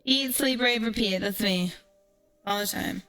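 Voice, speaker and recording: A woman's voice: mid-range voice, measured pace, bright, forceful tone, faint music behind. A woman streamer chatting casually and ranting in a brash, profane, sarcastic way. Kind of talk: casual livestream chat with ranting storytelling.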